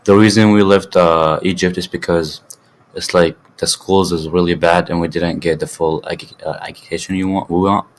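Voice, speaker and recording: A teenage boy from Syria whose first language is Arabic, answering interview questions in halting English with many fillers and restarts. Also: sits low, fairly even intonation, a little hiss or hum. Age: teens. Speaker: student guest.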